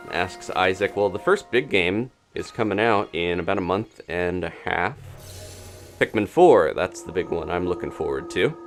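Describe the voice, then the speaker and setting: A man doing live gameplay commentary as a streamer, chatting casually and thinking aloud in a rambling way. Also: low-pitched. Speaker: video game streamer.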